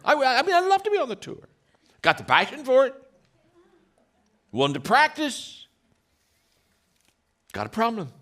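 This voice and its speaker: A man with a deep voice giving a motivational talk, telling stories with many restarts and acted-out quotes. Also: huge pitch swings, measured pace, pauses often, a bit bright. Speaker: leadership speaker.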